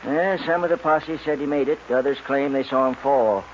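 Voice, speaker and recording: An actor playing a folksy older cowboy who tells a legend in 1940s western radio drama style. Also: male voice, medium pitch, brisk, natural voice. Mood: wistful, folksy. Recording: muffled, noisy 1940s radio recording.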